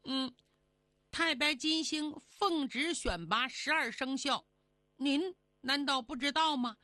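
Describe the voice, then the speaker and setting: A woman's voice: high-pitched, slow pace, sharp tone, no room sound, clean studio sound, voice pushed up, full character voice. A woman voicing an eager little pet rabbit in a folk tale, pleading and wheedling. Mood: nagging, pleading.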